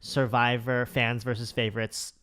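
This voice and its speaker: A man's voice: mid-range voice, flat delivery, moderate pace, fairly bright tone, smooth. A man co-hosting a podcast in casual chat, rambling and enthusiastic with lots of "like" and restarts. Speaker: podcast co-host.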